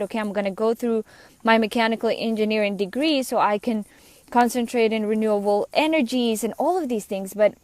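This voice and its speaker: A Colombian woman, a business coach with Spanish as her first language, telling her own story in an earnest, conversational way with quick asides. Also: fairly high pitch, no echo. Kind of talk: personal story monologue.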